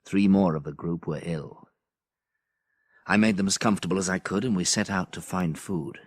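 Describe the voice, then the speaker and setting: Deep, husky, sombre survivor narrator. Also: male voice, no room sound, studio quality. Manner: sombre, measured. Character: grave male survivor recounting disaster. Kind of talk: scripted dramatic narration.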